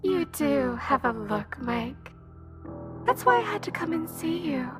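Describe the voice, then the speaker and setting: A woman's voice: sits high, sing-song, unhurried, creaky, music in the background, exaggerated voice. An actress playing an ancient serpent monster posing as a seductive woman, mocking her prey in a sing-song, mock-sweet way for an audio drama. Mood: scornful.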